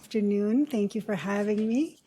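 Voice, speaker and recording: A woman in her fifties with a low voice, giving a conversational seminar talk as a nurse practitioner with storytelling asides. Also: moderate pace, warm tone, slight background noise, low-quality recording. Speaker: guest speaker, nurse practitioner. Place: lecture room.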